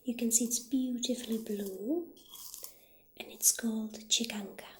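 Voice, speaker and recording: Female, high soft voice, slow and hushed with whispering, ASMR witch-shop roleplay, slight noise and clicks in recording. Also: fairly even intonation. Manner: gentle, hushed, unhurried, addressing the listener as a customer. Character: soft-spoken witch shopkeeper, calm and obliging. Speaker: ASMR roleplay performer playing a shopkeeper.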